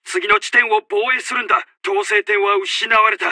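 An actor playing a commanding, urgent Japanese army officer who barks out short battle announcements in a military video game. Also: male voice, sits high, steady tone, normal speed, bright, forceful tone, dry recording, clean studio sound. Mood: alarmed, stern.

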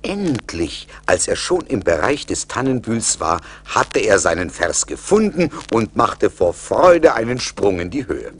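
A man's voice: lowish voice, sing-song, unhurried, few pauses. A man narrating a scripted fairy tale as the storyteller of a radio play. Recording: vintage LP radio play, faint noise.

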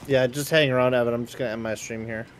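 A man's voice: medium pitch, steady tone, quick pace, a little hiss or hum. A man streaming games, talking casually with his co-op partners and viewers. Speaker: game streamer. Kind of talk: casual livestream chat. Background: faint game music.

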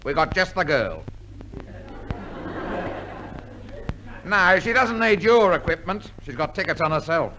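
A man's voice: fairly high pitch, very animated, moderate pace, bright, forceful tone, slight background noise, low-quality recording. A man playing a timid, wisecracking sidekick in a 1950s radio comedy. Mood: businesslike.

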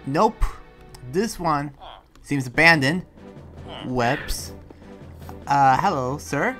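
A person improvising a relaxed demon slayer in a game roleplay, with a hesitant, halting delivery. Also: mid-range voice. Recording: music behind the voice.

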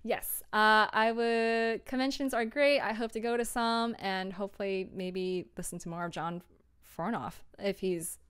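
A woman's voice: mid-range voice, fairly even intonation, unhurried, fairly bright tone, clean tone. A young woman chatting casually on a livestream and answering viewers in a scattered, self-interrupting, informal way. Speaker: audio drama producer and livestreamer.

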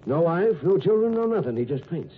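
An actor playing a meddling, falsely genial small-town doctor with a smug, insistent manner in a 1950s radio drama. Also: male voice, fairly high pitch, big pitch swings, a little fast. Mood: smug insistence. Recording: thin, phone-like, faintly noisy old radio.

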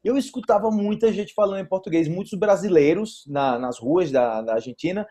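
A man's voice: high-pitched, brisk, mellow. A young man hosting a casual interview over a video call, upbeat and chatty, laughing easily, speaking through an earphone mic in a small room. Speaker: host.

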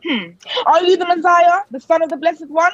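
A woman playing a stern, accusing high priest in an audio play, imperious and formal as an interrogator. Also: normal speed, fairly bright tone, no echo, highs cut off. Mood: stern, probing. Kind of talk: dramatic audio play acting.

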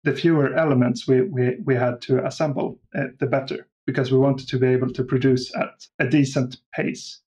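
A man's voice: medium pitch, level tone, brisk, hardly any pauses, a little mellow, muffled recording. A man speaking casually as an interview guest about his creative work. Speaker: podcast guest, audio drama creator.

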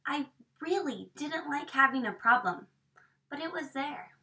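A woman in her thirties reading a picture book aloud expressively, with dramatic hushed emphasis, recorded from a camera across a small room. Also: high-pitched, lively intonation, a little slow, slight background noise. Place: small indoor room with bookshelves. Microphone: camera a few metres away. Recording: distant microphone in a room.

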